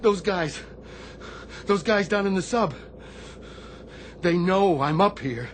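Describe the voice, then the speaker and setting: A tormented older Navy sailor in a radio drama, crushed by survivor's guilt. He speaks in halting, anguished repetitions, with faint noise behind him. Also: high-pitched. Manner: anguished, halting, repeating himself. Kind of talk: dramatic radio theatre acting.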